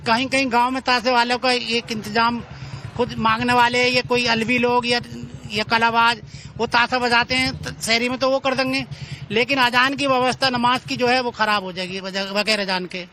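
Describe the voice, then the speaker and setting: Casting: male cleric, sharp high voice, halting informal answers. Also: flat delivery, a little hiss or hum, low-quality recording. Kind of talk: casual interview answer.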